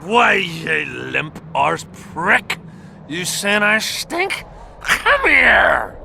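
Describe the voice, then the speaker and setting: A boisterous, muddled drunk soldier for a video game. His words slur and ramble, crude and loud, with pitch leaping about wildly and a bright, forceful edge. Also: big pitch swings, very slow, vocal fry, slight background noise, full character voice. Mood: rowdy. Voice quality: slurred.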